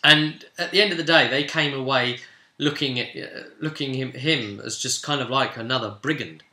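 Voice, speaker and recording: Male game master, very bright and forceful voice, rambling casual session recap, roomy echo. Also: medium pitch, normal speed. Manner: rambling, chuckling storyteller who acts out dialogue. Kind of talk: casual vlog recap of a tabletop role-playing session. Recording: echoey room sound.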